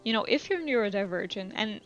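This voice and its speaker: A woman is live streaming a video game and chatting casually to her viewers. Her voice sits at a medium pitch, and her delivery is relaxed and rambling, often thinking aloud. Faint music plays behind her. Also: a little fast, highs cut off. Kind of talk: casual chat while playing a video game.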